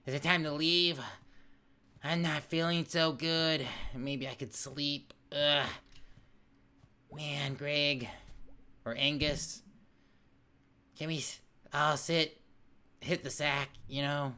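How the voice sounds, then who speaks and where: A man's voice: fairly high pitch, flat delivery, bright, forceful tone, smooth, exaggerated voice. A man doing a sore, worn-out young cat woman. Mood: weary. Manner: slow, tired, drawn-out reading. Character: weary young female cat, sore and queasy. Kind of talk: reading game dialogue aloud.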